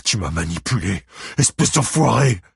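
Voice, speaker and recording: A man's voice: low-pitched, normal speed, gravelly, fairly bright tone, husky, dry recording, clean studio sound, full character voice. A man voicing a furious, bitter, vengeful father who spits out harsh accusations in an audiobook novel. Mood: bitter anger.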